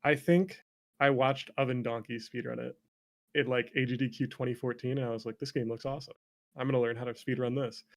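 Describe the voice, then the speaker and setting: Creaky-voiced young man chatting casually. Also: mid-range voice, little pitch movement, a little fast. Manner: informal, self-deprecating, peppered with "like". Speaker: interview guest, streamer and former speedrunner. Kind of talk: casual conversational storytelling.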